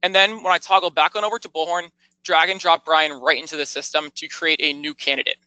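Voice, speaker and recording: Bright-voiced man giving a software walkthrough. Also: fairly high pitch, fairly even intonation, fairly quick, clean tone, no room sound, rough recording. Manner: explanatory, step-by-step, informal. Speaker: software product demonstrator. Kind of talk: conversational product tour narration.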